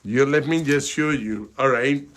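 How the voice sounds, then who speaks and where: Indian-accented man, halting and filler-heavy. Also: medium pitch, a bit bright. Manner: halting, many fillers and "you know, okay". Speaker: financial advisor hosting a webinar. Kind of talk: webinar presentation.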